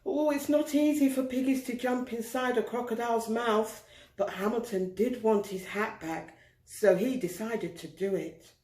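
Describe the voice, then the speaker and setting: A woman reading a picture book aloud to children, expressive and deliberate, drawing out words for emphasis. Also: on the high side. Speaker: storyteller. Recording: roomy, echoing indoor sound.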